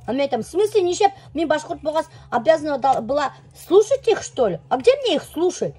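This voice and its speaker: A woman with a Bashkir accent chatting casually as a vlogger, switching between two languages in an animated, exclamatory way. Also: high-pitched, fairly quick. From Bashkortostan, Russia.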